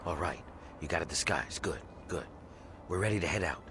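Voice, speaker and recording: An actor playing a grim, embittered slave rebel in a video game, gruff and conspiratorial as he schemes for freedom. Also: low-pitched, animated, fairly quick, music in the background. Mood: grim, matter-of-fact. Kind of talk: video game character dialogue. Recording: clear ambient noise behind voice.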